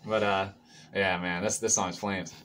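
A young man in his twenties with a Boston accent, excitedly breaking down a rap song in a casual living-room chat. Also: deep voice, a loud crowd. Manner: excited, quick running commentary. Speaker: rapper reacting to music.

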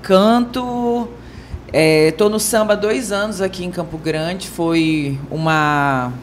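Low-voiced young Brazilian woman. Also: slow, a little hiss or hum. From Brazil, Rio de Janeiro and Mato Grosso do Sul. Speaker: guest, samba singer. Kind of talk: casual personal introduction. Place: small wood-panelled TV studio, indoors. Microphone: handheld microphone.

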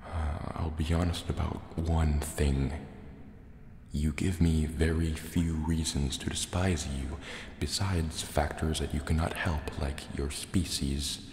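A man acts out a wary sea serpent dragon in a soft ASMR roleplay. The creature speaks slowly and formally, with a gravelly rumble and hesitant phrasing. Long cave reverb surrounds every word. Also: level tone, exaggerated voice. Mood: suspicious, guarded. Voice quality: gravelly, softly spoken. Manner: slow, hesitant, formal wording. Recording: cavernous echo, long reverb, faint water noise.

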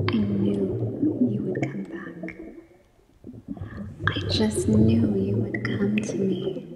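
Woman acting a whispering, possessive siren. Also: mid-range voice. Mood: tender, gloating. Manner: soothing, slow, coaxing, possessive. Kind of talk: whispered ASMR fantasy roleplay. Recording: cavernous reverb, echoey whisper recording.

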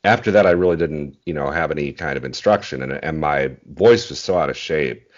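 A man in his forties with a very deep bass voice, speaking modestly and a little haltingly in a casual interview over a video call. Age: 40s. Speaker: interview guest, bass singer. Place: small indoor room at home. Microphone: earbud headset on video call. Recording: dull, muffled video-call audio.

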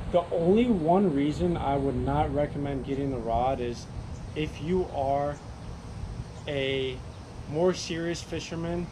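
A man's voice: fairly high pitch, noisy background. A young man hosting a fishing channel, giving an enthusiastic, rambling casual review with frequent restarts.

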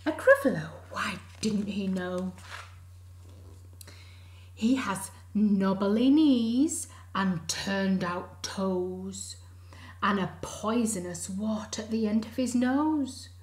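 A woman voicing a clever, polite little brown mouse in a hushed, breathy way in a storybook reading. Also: mid-range voice, slow, lightly acted. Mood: teasing. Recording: roomy echo.

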